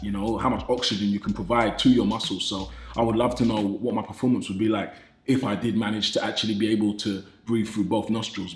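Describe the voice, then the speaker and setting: A man's voice: fairly low pitch, echoey room. A British man in his thirties with a nasal voice, talking casually and enthusiastically to his viewers as a fitness YouTuber. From Britain. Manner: enthusiastic, repeats phrases, says "man" often.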